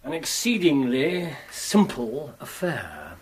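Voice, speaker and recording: A man acting an aloof, languid Victorian gentleman detective with a clipped manner in a radio drama. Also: medium pitch, huge pitch swings, takes it slowly, background noise. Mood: dismissive.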